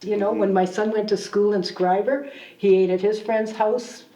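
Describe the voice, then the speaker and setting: A woman's voice: mid-range voice, steady tone, normal speed, dark tone. A woman in her seventies chatting fondly about growing up in a small town, full of asides. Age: 70s. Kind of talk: documentary interview. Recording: dull, roomy sound from a distant mic.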